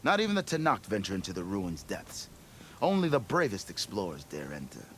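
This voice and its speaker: An actor playing a blustering, scheming expedition leader in a video game, with grandiose storytelling. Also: male voice, deep voice, huge pitch swings, a little fast, a bit bright, slight background noise, heavily acted. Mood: dramatic, boastful. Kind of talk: video game cutscene acting.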